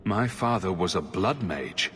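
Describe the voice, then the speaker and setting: A man's voice: low-pitched, expressive, measured pace, fairly bright tone, slightly rough, soft background music, exaggerated voice. A man playing a skeptical, resolute fantasy hero in a video game, speaking in a firm, questioning way. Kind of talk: dramatic video game acting. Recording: long hall reverb.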